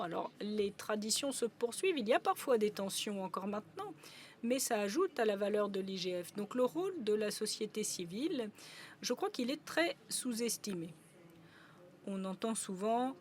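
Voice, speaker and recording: A woman doing simultaneous interpretation at a conference, a steady relay full of brief hesitations. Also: medium pitch, a little hiss or hum.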